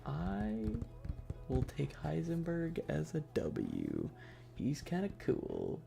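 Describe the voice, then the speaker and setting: A man's voice: fairly high pitch, huge pitch swings, slow, vocal fry, dark tone, faint music behind. A man chatting casually as a livestream host, bantering with viewers in a slangy, joking way. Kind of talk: casual livestream chat, ASMR streamer.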